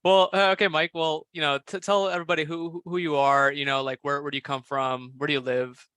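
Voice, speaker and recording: A man's voice: on the high side, flat delivery, brisk, pushed and bright, clean tone, no room sound, clean studio sound. A man hosting a podcast interview in a casual, friendly way, laughing easily and restarting his sentences. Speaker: podcast host.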